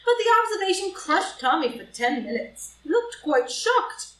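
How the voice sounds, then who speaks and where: Woman as a vain young society lady, play reading, arch and breezy, very animated, echoey room, noisy recording. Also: extremely high pitch, moderate pace, exaggerated voice. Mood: playful complaint. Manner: arch, breezy, teasing drawl. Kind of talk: group play reading for audio drama. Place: live in-person group reading in a room. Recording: roomy, distant live reading, noisy.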